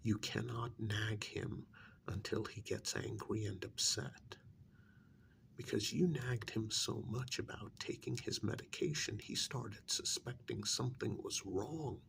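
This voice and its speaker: A man playing a stern, secretive government handler in an ASMR role-play, speaking softly in a pointed, reprimanding, deliberate way. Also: lowish voice, a little hiss or hum, exaggerated voice. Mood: stern, scolding. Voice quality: breathy near-whisper with gravelly creak. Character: stern, secretive male government handler scolding an undercover agent.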